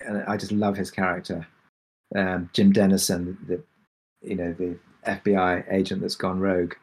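A man answering questions on a discussion panel as a drama creator, speaking reflectively and haltingly with many pauses. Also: sits low, flat delivery, a little mellow, slightly rough. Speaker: audio drama creator and producer.

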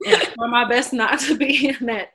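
明るく張りのある声の女性. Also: female voice, on the high side, steady tone, normal speed, a bit bright, slightly rough. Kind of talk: casual conversation.

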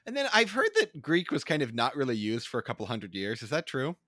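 A man co-hosting a casual talk who hunts for his words, restarting and repeating phrases. His voice is mid-pitched and comes across dry and close, with no room sound. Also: huge pitch swings, brisk, pushed and bright, dry recording. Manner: hesitant, searching for words, repeats himself. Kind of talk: casual discussion.

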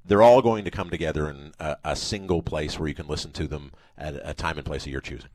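A middle-aged man with a Canadian accent, speaking as an executive guest in a radio interview, giving a polished, articulate promotional pitch. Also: fast, a little mellow, no room sound.

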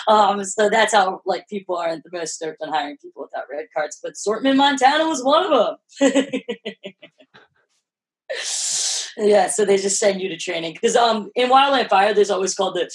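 Husky low-voiced woman, Philly-Jersey accent. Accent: Philadelphia-New Jersey. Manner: low-voiced, animated, sprinkles "like" and swears freely. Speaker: podcast guest. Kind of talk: casual interview chat.

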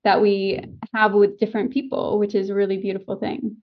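A woman's voice: mid-range voice, flat delivery, brisk, lo-fi sound. An American woman who works as a voice and dialect coach, with a slight English tinge to her accent, speaking in an articulate, reflective and enthusiastic way in an interview. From United States. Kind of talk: interview over a call.

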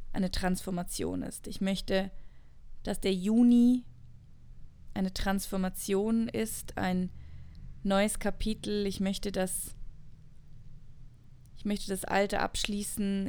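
A woman in her late twenties talks through her thoughts as if in a personal audio diary. Her pitch is average and her pace is unhurried. She sounds earnest and introspective, repeating words to stress them as she goes. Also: darkish tone, clean tone. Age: late 20s, turning 30. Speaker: podcast host. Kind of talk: reflective personal monologue, unscripted.

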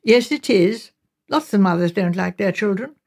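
A woman's voice: mid-range voice, expressive, moderate pace, darkish tone. A woman voicing a spirited, outspoken young English sister in an audiobook, chatty, emphatic and teasing. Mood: indignant, pitying.

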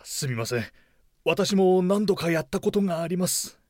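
An actor playing an earnest, polite middle-aged office manager in a comic radio drama. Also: male voice, high-pitched, animated, normal speed, darkish tone, no room sound, studio quality. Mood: apologetic.

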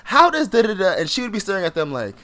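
A man's voice: high voice, wildly swooping pitch, fast, a bit bright. A man from Jamaica co-hosting a podcast, chatting casually in an emphatic way and laughing often. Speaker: podcast co-host. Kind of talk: casual chat.

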